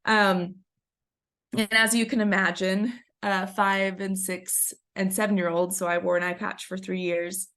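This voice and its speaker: A woman's voice: medium pitch, creaky, a bit bright. A woman in her thirties presenting a webinar in a chatty storytelling way, a little scratchy from a cold. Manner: chatty, storytelling, frequent ums. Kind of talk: conversational presentation.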